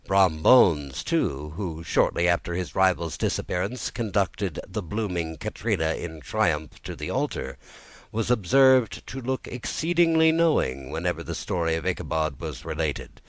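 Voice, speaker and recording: A man with a notably deep voice narrates a bedtime tale. His delivery is gentle, measured and soothing, in a literary storytelling manner. The sound is dry, with no room around the voice. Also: expressive, no echo. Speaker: audiobook narrator.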